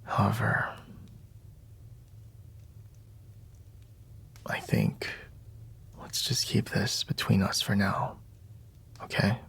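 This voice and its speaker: Man playing a grudgingly soft mercenary bodyguard, ASMR roleplay, husky and breathy, low-pitched, halting delivery. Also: flat delivery, heavily acted. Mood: hesitant, hushed. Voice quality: breathy, husky, gravelly near-whisper. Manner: hesitant, halting, grudgingly soft, frequent sighs. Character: gruff, flustered young male mercenary royal bodyguard, secretly tender. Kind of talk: intimate ASMR roleplay acting.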